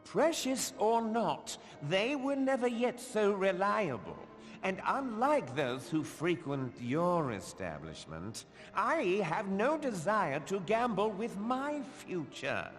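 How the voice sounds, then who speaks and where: An actor playing a pompous, scornful, wealthy merchant lord in a fantasy game, speaking in formal archaic phrases. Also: male voice, high-pitched, very animated, slow pace, heavily acted. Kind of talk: scripted fantasy game voice acting. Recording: long hall reverb, music underneath.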